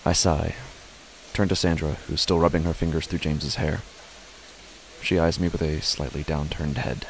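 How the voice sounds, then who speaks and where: A man plays a father narrating a first-person horror tale. His voice is very low and he speaks in deliberate, short phrases. A faint hiss lies behind the words. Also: steady tone, low-quality recording. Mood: sombre, tense. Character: father narrating his family's uneasy stormy night.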